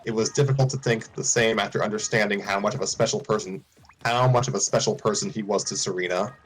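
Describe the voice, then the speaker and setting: A man's voice: fairly low pitch, fairly even intonation, quick pace, low-quality recording. A man reading a fan story aloud, often stumbling and restarting lines.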